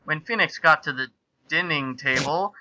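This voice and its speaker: A man's voice: on the high side, normal speed, sharp tone, dry recording, low-quality recording. A man narrating amateur fan fiction in a theatrical, amused way. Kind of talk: comic dramatic reading of amateur fan fiction.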